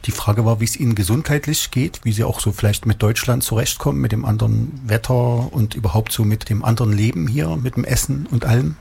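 Gravelly, husky male interviewer. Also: medium pitch, fairly quick, few pauses, darkish tone. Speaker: radio interviewer. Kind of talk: interview questions.

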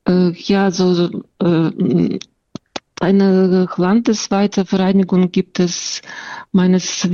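A woman's voice: lowish voice, mellow, no room sound, lo-fi sound. A woman with a Russian accent who stutters, telling her story and answering interview questions, with sound blocks and many fillers. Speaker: interview guest. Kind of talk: personal account and interview answers.

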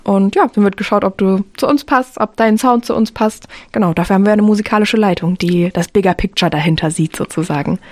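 A woman's voice: medium pitch, fairly quick, hardly any pauses, darkish tone, no room sound. Interview guest, female choir singer, middle register, informal explanatory talk, dry sound. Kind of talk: casual interview chat.

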